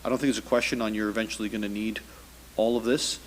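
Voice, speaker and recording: A man leads the council debate, halting and repeating words, with a wry edge. His voice carries a long hall reverb, with a faint room hum beneath. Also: on the low side, steady tone. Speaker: mayor chairing a meeting. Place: council chamber.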